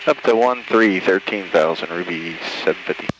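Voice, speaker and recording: A man's voice: low voice, normal speed. A man working as an airline pilot, giving clipped procedural callouts and radio readbacks in a noisy cockpit. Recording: noisy airplane cockpit with engine drone.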